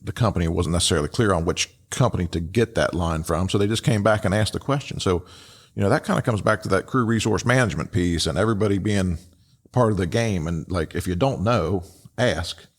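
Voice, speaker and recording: A man hosting a podcast with casual, unscripted commentary, speaking haltingly and often repeating his words. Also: deep voice. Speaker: podcast host.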